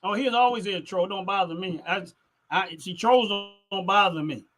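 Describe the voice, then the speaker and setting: Bright, high-voiced man talking emphatically. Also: moderate pace. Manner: emphatic, repeats phrases for effect. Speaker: livestream host. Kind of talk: casual opinionated livestream talk, responding to chat.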